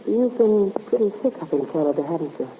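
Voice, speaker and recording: An actress playing a soothing, quietly probing female caretaker in 1950s radio drama style. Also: mid-range voice, lively intonation, a little fast, lightly acted. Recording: noisy, muffled vintage radio recording.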